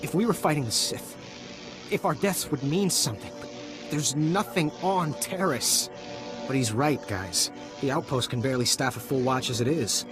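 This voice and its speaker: An actor playing a weary, desperate army private, halting and pleading, in a video game. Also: male voice, medium pitch, music in the background, natural voice. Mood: bitter, despairing.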